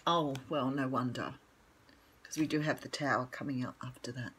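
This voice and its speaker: An older Australian woman with a croaky morning voice, chatting casually and rambling through a tarot reading at home with lots of ums. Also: a bass voice, steady tone, normal speed, fairly bright tone, slight background noise. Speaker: tarot reader.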